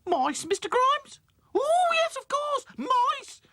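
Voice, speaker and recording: A man voicing a forgetful, flustered older female canteen lady in a cartoon, in a shrieking falsetto. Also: very animated, slow, heavy background noise, exaggerated voice.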